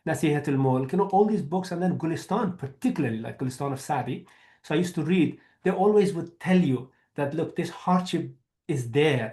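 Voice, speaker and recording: A man's voice: a little high, darkish tone. A man from Afghanistan, a historian answering questions in an online seminar, speaking conversationally with many "you know" fillers. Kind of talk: academic talk and Q&A. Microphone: video call.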